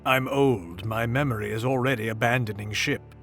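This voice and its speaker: A man playing a composed older gentleman explorer in an audio drama, polite and dry. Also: medium pitch, moderate pace, soft background music, lightly acted. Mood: assured.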